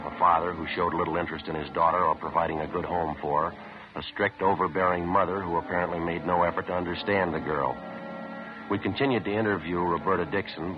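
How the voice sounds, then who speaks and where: An actor playing a calm, businesslike police detective in a 1950s radio drama, deadpan and matter-of-fact. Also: male voice, low-pitched, lightly acted. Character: calm, businesslike male police detective, narrating his case. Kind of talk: 1950s radio drama acting, clipped procedural delivery. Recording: muffled 1950s radio recording with music.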